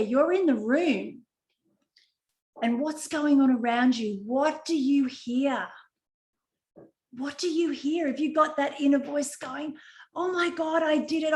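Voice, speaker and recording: Female visualization coach, unhurried and soothing, repetitive prompts, recorded in a roomy echoey space. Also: fairly high pitch. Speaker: coach leading a group visualization. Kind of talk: guided visualization. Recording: roomy echo.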